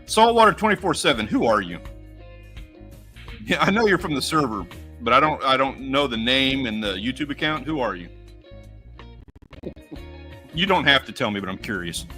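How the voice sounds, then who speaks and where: A man's voice: mid-range voice, animated, moderate pace, pauses often, sharp tone, faint music behind. An American man co-hosting a casual outdoors livestream, chatty and laid-back as he reads out viewer names and runs polls. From United States. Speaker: livestream co-host.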